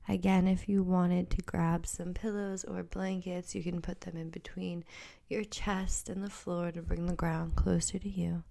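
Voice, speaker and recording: Female yoga instructor, soft and breathy, dark mellow tone, lowish pitch, gentle step-by-step guidance. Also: level tone, unhurried. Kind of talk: guided yoga class instruction.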